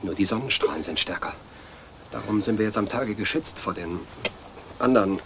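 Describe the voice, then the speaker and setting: A man's voice: on the low side, normal speed, close to an everyday voice. Guy playing a paranoid asylum patient in a german radio drama, urgent n conspiratorial, noisy clicky recording. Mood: obsessive, earnest. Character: delusional asylum patient, obsessively weeding, fearful of women.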